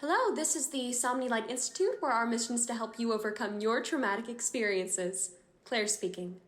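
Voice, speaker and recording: A woman playing a brisk, evasive receptionist at a sinister institute in a horror audio drama. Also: on the high side, slight background noise, close to an everyday voice. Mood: polite, flustered.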